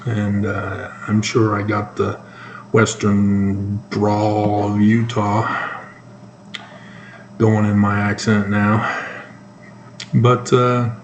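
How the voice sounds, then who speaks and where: A man with a North American accent giving informal, sarcastic news commentary, halting often mid-sentence. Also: on the low side, darkish tone. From North America, moved around the United States and Canada. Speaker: solo commentator. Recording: echoey room with faint background noise.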